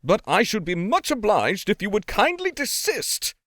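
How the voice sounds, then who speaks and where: A man plays an indignant male lawyer from a storybook, agitated and demanding. The voice is raised high and swings widely, with sharp, insistent exclamations. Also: huge pitch swings, moderate pace, no echo, studio quality, exaggerated voice. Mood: angry, alarmed.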